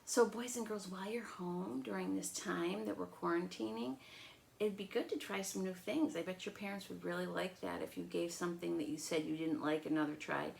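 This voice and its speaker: Lady doing storytime for kids, expressive n encouraging, bit of vocal fry. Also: lowish voice, brisk, slight background noise, low-quality recording. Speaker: children's storytime host and teacher. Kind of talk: animated storytelling and chat for children.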